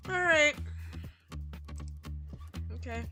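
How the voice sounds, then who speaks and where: A woman streaming a visual novel with casual, expressive chat, often repeating phrases for emphasis. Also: high-pitched, extremely slow. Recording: music clearly behind the voice.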